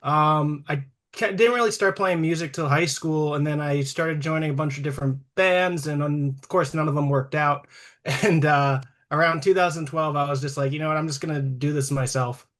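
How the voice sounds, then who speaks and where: Guest musician, man, thirties, from Long Island, easygoing, voice a touch high. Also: normal speed, a bit bright. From Long Island, New York. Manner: friendly, easygoing. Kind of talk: casual interview talk.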